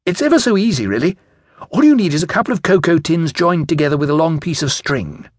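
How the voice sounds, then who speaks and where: A man's voice: a little high, lively intonation, a little fast, no room sound, studio quality, voice pushed up. A man voicing an eager, impulsive eleven-year-old British schoolboy, enthusiastic and insistent in a children's audiobook. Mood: eager, explaining.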